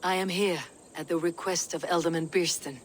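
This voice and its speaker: A woman playing a steadfast Viking warrior leader in a video game, measured, authoritative and direct. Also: fairly low pitch, fairly even intonation, a little hiss or hum, close to an everyday voice. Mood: gentle, persuasive. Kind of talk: video game cutscene acting.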